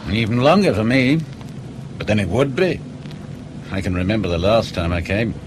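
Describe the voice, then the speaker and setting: A man's voice: fairly low pitch, normal speed, noisy background, close to an everyday voice. A man playing a wary, resentful field agent in a radio drama, with a sardonic, needling way of talking. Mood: bitter, impatient. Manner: sceptical, needling. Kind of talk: naturalistic radio drama dialogue.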